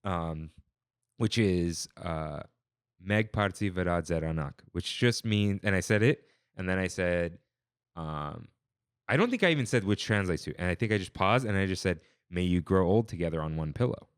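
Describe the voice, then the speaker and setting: Brief: young man, first language Armenian, low pitch and lively swings, podcast co-host, close dry mic. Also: moderate pace, smooth, dry recording. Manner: animated storyteller, quotes people in voices. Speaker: podcast co-host, wedding officiant. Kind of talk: casual chat.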